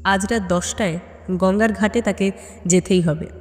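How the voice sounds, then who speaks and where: A woman's voice: mid-range voice, fairly even intonation. Woman narrating suspense in long reverb. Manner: suspenseful, deliberate with dramatic pauses. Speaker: audio story narrator. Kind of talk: dramatic scripted narration of a detective story.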